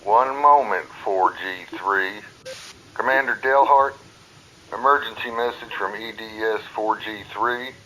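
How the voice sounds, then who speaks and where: An actor playing a curt, officious spaceship radio operator in a radio play. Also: male voice, fairly low pitch, unhurried, bright, forceful tone, a little hiss or hum, rough recording. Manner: curt, procedural. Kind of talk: dramatic radio play acting.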